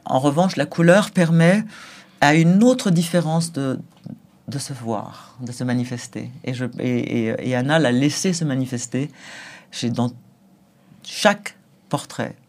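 A man speaking as an interview guest, emphatic and forceful, with short laughs between points. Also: mid-range voice, unhurried. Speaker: guest, school figure.

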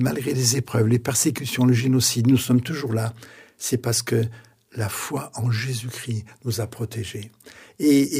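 A man's voice: medium pitch, creaky, darkish tone, breathy edge. An older man, a pastor, telling his story in a radio interview in an earnest, reflective way. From France. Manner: earnest, reflective, preacherly pauses.

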